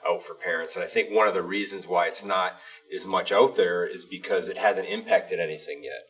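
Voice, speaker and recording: A man's voice: deep voice, fairly even intonation, a little fast, a bit bright, recorded from a distance. A man talking casually and conversationally as co-host of an interview show. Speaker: podcast co-host, school superintendent.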